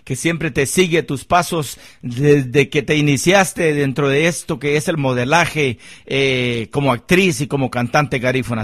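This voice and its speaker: A man hosting a live radio interview show, effusive and sing-song, with lots of shoutouts. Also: on the high side, dry recording, studio quality. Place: radio studio.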